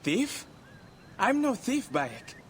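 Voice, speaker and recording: A man's voice: very high voice, wildly swooping pitch, slow pace, a little hiss or hum, heavily acted. An actor playing a cheeky, boastful male rogue in a video game, glib and theatrical as a storyteller. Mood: defensive, glib.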